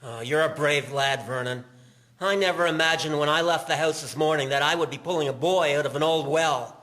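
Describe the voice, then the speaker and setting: A man's voice: fairly high pitch, fairly quick, fairly bright tone, lightly acted. An actor playing an urgent, kindly man coming to the rescue in an audio drama, speaking with reassurance. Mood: relieved, earnest. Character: urgent, kindly male passer-by rescuing a boy. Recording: echoey reverb with clear hiss.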